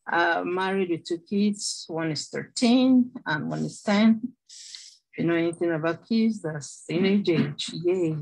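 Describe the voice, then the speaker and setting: A doctoral student introducing herself in an online class, chatty and laughing, with restarts. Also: female voice, lowish voice, rough recording. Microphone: video call.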